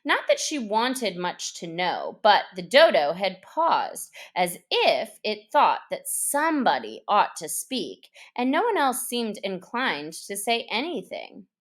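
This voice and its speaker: Slow, expressive woman storyteller. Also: mid-range voice. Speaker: storyteller, reader. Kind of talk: expressive children's audiobook narration.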